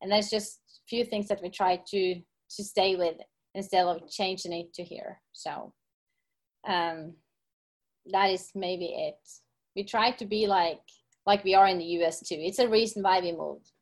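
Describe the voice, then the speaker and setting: Brief: middle-aged Norwegian woman, accented English, unhurried, pauses to search for words. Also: medium pitch, fairly even intonation. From Norway. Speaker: podcast guest, gym owner and coach. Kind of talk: casual interview.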